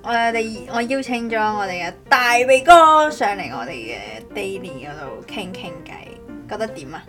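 A woman's voice: a little high, very animated, moderate pace, a bit bright, faint music behind. A woman with a Cantonese accent hosting a casual chat podcast, bantering in a teasing, playful way. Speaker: host of a daily chat podcast.

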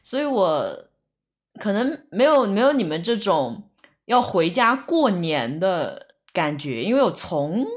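A woman's voice: mid-range voice, big pitch swings, a little slow. A woman from Ningbo in China co-hosting a podcast in casual chat. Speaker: podcast co-host.

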